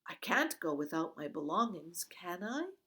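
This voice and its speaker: A woman's voice: lowish voice, sing-song, fairly bright tone. A woman reading aloud, voicing a clever young girl who bluffs her way past soldiers with a rush of talk. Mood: anxious. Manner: chattering, spilling words out fast. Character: quick-talking clever peasant girl, bluffing.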